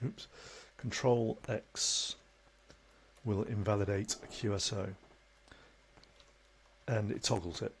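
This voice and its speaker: A man with a fairly low voice talks through a screen-recorded software tutorial. His delivery is instructional but conversational and unscripted, and there is some faint background noise on the recording. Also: fairly even intonation, takes it slowly, creaky, long pauses. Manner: instructional, conversational, with tag questions.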